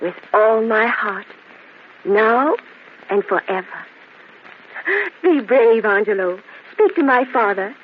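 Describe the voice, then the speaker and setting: High-voiced, tender young sweetheart. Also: female voice, lively intonation, slow pace, pauses often. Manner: tender, theatrical. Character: devoted young Italian sweetheart, tender and candid. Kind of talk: old-fashioned radio drama acting. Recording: muffled, hissy old radio drama recording.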